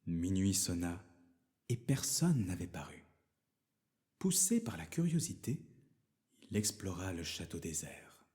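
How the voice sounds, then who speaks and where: A man narrating a fairy tale for children as a storyteller, in a theatrical, expressive manner. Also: deep voice, a little slow, pauses often.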